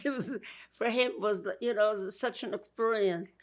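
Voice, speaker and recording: An older woman from Georgia with a Brooklyn accent, recalling her family's past in an interview. She speaks warmly, often laughs, and restarts her sentences. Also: mid-range voice, measured pace. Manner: warm, with frequent laughs and restarts. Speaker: guest. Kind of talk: interview, memories.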